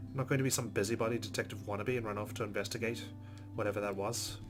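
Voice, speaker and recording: Low-voiced man narrating a game playthrough. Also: fairly even intonation, very fast, music in the background. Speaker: let's-play host reading a visual novel.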